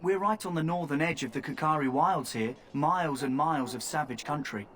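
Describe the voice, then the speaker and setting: A man's voice: a little high, fairly quick, fairly bright tone, a little hiss or hum, natural voice. A man voicing a cocky young thief recruit. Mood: conspiratorial. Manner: cheeky, teasing. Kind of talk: video game dialogue.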